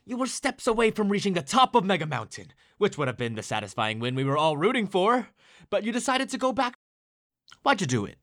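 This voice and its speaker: A man's voice: high voice, sing-song, fairly quick, fairly bright tone, exaggerated voice. An actor playing a slick, glib male game show host with a showy announcer delivery in an audio drama.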